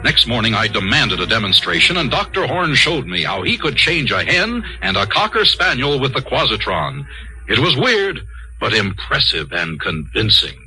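An actor playing a brisk, self-important army colonel with clipped military phrasing, narrating and acting in an old-time radio drama. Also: male voice, on the low side, sing-song, pushed and bright, heavily acted, poor audio. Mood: brisk, confiding.

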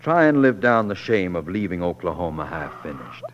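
A man's voice: deep voice, very animated, moderate pace, highs cut off. An actor playing a restless, grandiloquent frontier newspaperman and husband in the florid manner of 1940s radio drama. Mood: stern. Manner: theatrical, coaxing, florid. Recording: old 1940s radio recording.

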